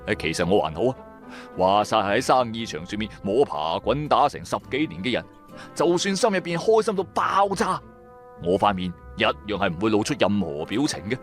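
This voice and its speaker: A man's voice: fairly low pitch, sing-song, moderate pace, faint music behind. An actor with a Cantonese accent playing a streetwise, wry businessman turned tomb raider, narrating in colloquial first person for a radio drama. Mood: amused, exasperated. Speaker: narrator and main character of an audio drama.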